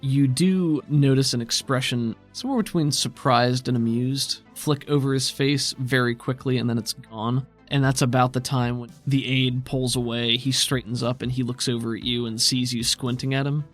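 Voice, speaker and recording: A man narrating a tabletop roleplaying game as game master, describing scenes in a measured, detailed way. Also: medium pitch, fairly even intonation, faint music behind.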